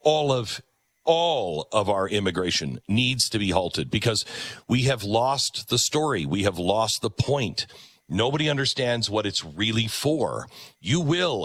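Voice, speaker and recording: Animated American male talk host. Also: lowish voice. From United States. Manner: animated, emphatic, repeats phrases for emphasis. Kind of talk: conversational commentary.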